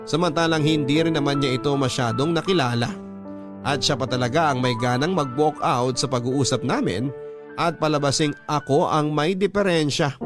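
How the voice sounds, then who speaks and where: A man reading a listener's letter as scripted first-person story narration, as the host of a storytelling show. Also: mid-range voice. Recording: music behind the narration.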